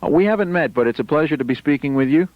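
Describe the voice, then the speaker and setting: A man's voice: a little high, animated, racing, a little hiss or hum. A man hosting a live radio show, friendly and stammering through his questions to callers. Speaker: radio host. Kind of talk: live on-air phone interview. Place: radio studio. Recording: dull broadcast radio recording.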